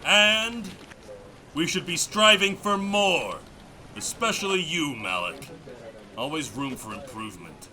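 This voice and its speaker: Nagging office boss, very bright cutting voice, swooping pitch, pompous, audio drama, faint background noise. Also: male voice, sing-song, takes it slowly, exaggerated voice. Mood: condescending. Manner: pompous, needling. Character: condescending, nagging male office manager.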